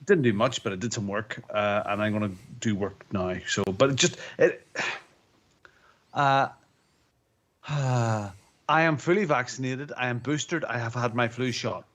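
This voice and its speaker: A man co-hosting a casual podcast, chesty with a lingering cough, rambling with halting restarts. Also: mid-range voice, fairly bright tone. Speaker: podcast co-host. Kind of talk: casual chat.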